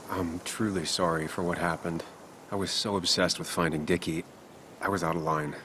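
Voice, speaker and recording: An actor playing a weary, remorseful journalist in a video game, plain-spoken and subdued. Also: male voice, low-pitched, steady tone, normal speed, background noise, natural voice. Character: weary, remorseful male journalist grieving a loss. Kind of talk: video game cinematic dialogue.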